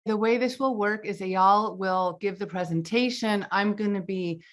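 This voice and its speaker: A woman's voice: mid-range voice, moderate pace. A woman hosting a video-call lecture, friendly as she sets up the session and hands over to the speaker. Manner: friendly and organizing. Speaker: host and facilitator. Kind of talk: online meeting hosting.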